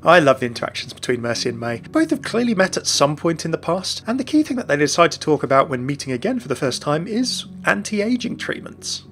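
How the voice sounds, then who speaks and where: Fast-talking man narrating game lore. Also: a little high, sing-song, a bit bright. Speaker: gaming lore video host. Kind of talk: scripted narration.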